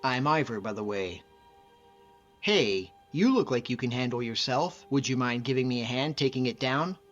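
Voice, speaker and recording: A man's voice: medium pitch, animated, normal speed, a bit bright, lo-fi sound, natural voice. Man acting an earnest hunter, music behind. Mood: friendly, persuasive. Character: friendly Nordic hunter, young man, modest lone wolf. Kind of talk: video game companion dialogue acting.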